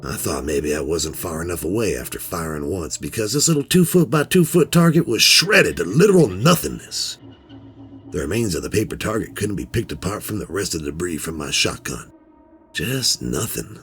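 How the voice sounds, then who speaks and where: A man's voice: low-pitched, fairly bright tone, heavily acted. A man playing a middle-aged hobbyist who tells a first-person horror story in a folksy, rambling, conversational way. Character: laid-back middle-aged male metal detector hobbyist recounting a strange find. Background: music.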